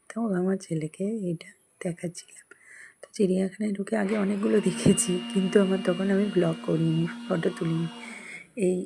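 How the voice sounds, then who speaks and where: A woman's voice: on the low side, gravelly. A woman with a West Bengal Bengali accent narrates a casual vlog in a chatty, rambling way, often repeating herself. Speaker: vlogger. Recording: noisy crowded ambience behind the voice.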